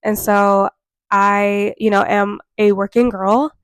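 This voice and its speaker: A woman in her mid-twenties talks straight to camera, a bit nasal and worn out from a cold. Her delivery is earnest and chatty, full of fillers, and stays fairly flat in pitch. The recording is close and dry, with no room sound. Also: slow pace, smooth, no room sound. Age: 20s. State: congested from a cold, tired. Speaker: vlogger. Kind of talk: casual talk to camera. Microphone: microphone.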